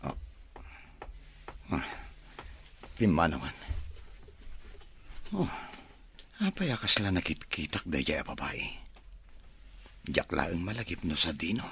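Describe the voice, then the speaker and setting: An actor playing a suspicious, wronged husband in an Ilocano radio drama, with an Ilocano accent and a husky voice. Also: male voice, deep voice, big pitch swings, takes it slowly, pauses often, sharp tone, a little hiss or hum, heavily acted. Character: suspicious, wronged husband confiding about his wife.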